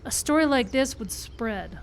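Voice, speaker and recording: A woman's voice: a little high, animated, a little slow. A woman playing a skeptical, level-headed film crew member in a live radio play, with a dry, reasoning manner. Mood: skeptical, patient. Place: live radio show in a park. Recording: live stage recording with background noise.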